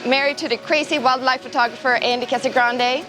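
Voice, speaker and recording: A woman's voice: high-pitched, steady tone, fairly quick, low-quality recording. A woman from Sweden giving a friendly, casual self-introduction to camera.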